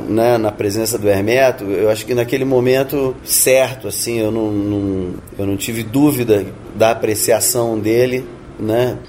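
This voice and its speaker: A man's voice: on the low side, darkish tone, slight background noise. A man from Rio de Janeiro with a Brazilian Portuguese accent, answering interview questions thoughtfully, with many restarts and tag questions. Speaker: interviewed musician.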